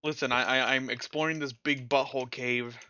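A man's voice: medium pitch, steady tone, a little fast, sharp tone, clear, resonant tone, no room sound, highs cut off. Man, game streamer, casual self-mocking chat, occasional stutter, dry close sound.

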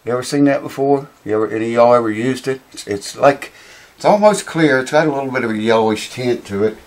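A man, hoarse and losing his voice, chatting casually to camera in a small home workshop. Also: medium pitch, quick pace. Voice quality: raspy. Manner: rambling, folksy, swears casually. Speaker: guitar repair vlogger. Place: small cluttered home workshop with mounted animal heads, indoors.

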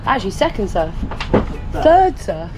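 A woman's voice: medium pitch, very animated, a little slow, background noise. Bubbly young woman vlogging on a boat. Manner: bubbly, laughing often. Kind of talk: casual vlog narration. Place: on a boat at sea, outdoors. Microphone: action camera held at arm's length.

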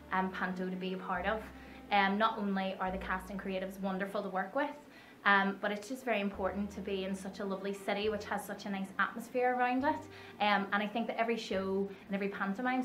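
A woman in her twenties, a pantomime actress, talking gently with many ums. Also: medium pitch, reverberant. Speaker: actress playing Cinderella. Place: dressing room.

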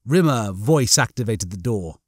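A man's voice: on the low side, expressive, moderate pace, no room sound, studio quality. A man reading a science fiction audiobook in a theatrical, suspenseful way. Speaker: audiobook narrator. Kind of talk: dramatic scripted narration of comic science fiction.

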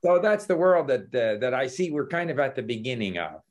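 A man's voice: mid-range voice, a little fast. An older man telling travel stories in a casual video call from his home study, talking with his hands and chuckling. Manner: animated storytelling with gestures, chuckles. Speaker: language learning host. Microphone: laptop webcam video call.

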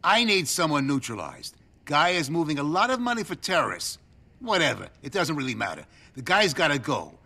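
An actor playing a smug, cynical middle-aged American government agent, sardonic and commanding, in a video game cutscene. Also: male voice, on the high side, sing-song, fairly quick, pushed and bright, full character voice. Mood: irritated, commanding.

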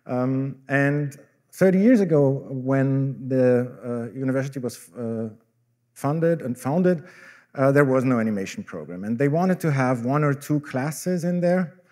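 Austrian man giving a relaxed talk. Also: mid-range voice, measured pace. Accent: Austrian German. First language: German. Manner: relaxed, self-deprecating, with frequent fillers. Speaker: professor and conference speaker. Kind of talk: conference presentation. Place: large dark conference hall, on stage.

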